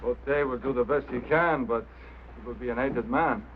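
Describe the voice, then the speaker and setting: Over a thin, hissy vintage film track comes a man acting a French Canadian road crew foreman. He is stubborn and put-upon, phrasing things haltingly at an ordinary male pitch. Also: phone-quality sound, natural voice. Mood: insistent. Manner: halting, non-native phrasing. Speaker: actor. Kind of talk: mid-century screen drama acting. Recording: thin, noisy old film soundtrack.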